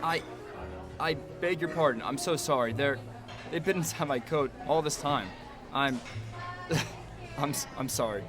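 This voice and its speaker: A man's voice: on the high side, unhurried, pauses often, over music, close to an everyday voice. An actor playing a wistful, proud middle-aged father in a radio drama, articulate, wry and formal. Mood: flustered, apologetic. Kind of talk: radio drama acting, letter narration.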